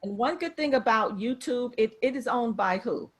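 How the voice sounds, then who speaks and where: A woman's voice: on the high side, lively intonation, normal speed, breathy edge. A woman teaching an interactive class over a video call as a professor, emphatic and repeating phrases for emphasis. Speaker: marketing professor.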